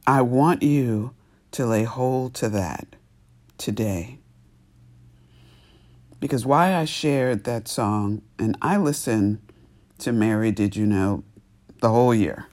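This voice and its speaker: Slow, sniffly male preacher. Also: medium pitch, darkish tone. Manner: slow, deliberate, emphatic pauses. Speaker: pastor. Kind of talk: sermon.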